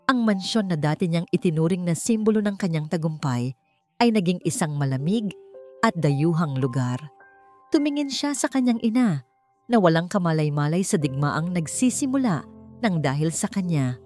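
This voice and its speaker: Mellow low-voiced Filipino woman narrating. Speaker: story narrator. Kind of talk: scripted dramatic narration of a romance story. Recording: faint music bed under the voice. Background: soft background music.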